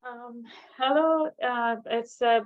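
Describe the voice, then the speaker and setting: A woman from Iran with an Iranian accent and Persian as first language, speaking hesitantly as a panel guest over a dull connection. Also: on the high side. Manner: hesitant, halting. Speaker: panel guest, human rights fellow.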